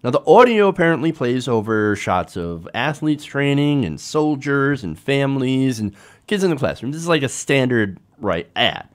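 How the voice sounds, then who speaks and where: A man's voice: mid-range voice, big pitch swings, moderate pace. A man hosting a political commentary show, talking informally to viewers in a conversational way with rhetorical tag questions.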